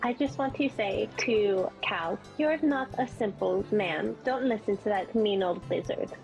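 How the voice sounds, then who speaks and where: A woman's voice: mid-range voice, a little slow, gravelly, darkish tone, soft background music, rough recording. A woman voicing a sweet but mischievous princess adventurer in a tabletop game, casual, blunt and protective. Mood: consoling.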